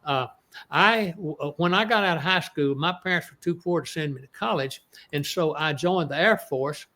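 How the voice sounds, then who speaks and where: A man's voice: fairly high pitch, normal speed, sharp tone. A man in his eighties with an East Texas accent, an author telling stories in an interview, rambling with many restarts. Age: 80s. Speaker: author guest. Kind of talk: casual interview storytelling.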